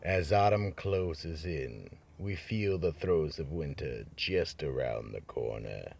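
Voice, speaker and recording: A man playing a brooding vault keeper, narrating a horror radio drama in a slow, ominous, theatrical manner. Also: a bass voice, animated, gravelly, a little mellow, husky, soft background music, full character voice. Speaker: horror anthology host and narrator.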